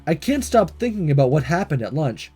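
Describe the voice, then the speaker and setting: A man reading a visual novel aloud as a gaming host, mixing expressive narration with casual commentary. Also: fairly high pitch, a little fast, breathy edge, soft background music.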